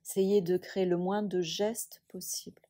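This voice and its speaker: A woman instructor guiding a yoga relaxation meditation, speaking softly and soothingly in a breathy, hushed voice with long pauses. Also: lowish voice, level tone.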